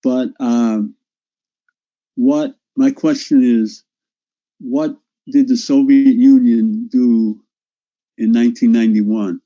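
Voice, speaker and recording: A man with a very dark, mellow voice asks a direct question over dull, lo-fi call audio. His pitch is ordinary for a man. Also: sing-song, slow, pauses often. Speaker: class participant. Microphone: video call.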